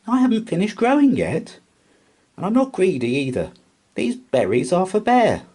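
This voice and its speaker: A small, indignant female mouse from a bedtime storybook, played by a man. The voice is squeaky and pushed well up high. It speaks earnestly and emphatically, with a very animated melody. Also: normal speed, exaggerated voice. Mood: insistent. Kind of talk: children's storybook character voice.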